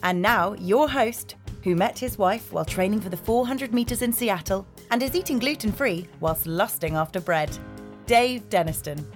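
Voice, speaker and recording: A woman's voice: mid-range voice, normal speed. Female announcer, scripted podcast opener, polished and playful, faint guitar bed behind. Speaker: show announcer. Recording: intro over guitar music.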